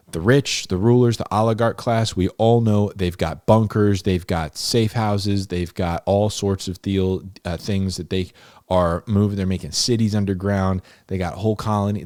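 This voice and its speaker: A man with a low-pitched voice, recorded close and dry. He teaches scripture off the cuff in an informal, conversational style. He leans on key phrases and repeats them for emphasis. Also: darkish tone, no echo. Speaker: host of a Bible-explainer series, teacher. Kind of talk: informal, unscripted Bible teaching.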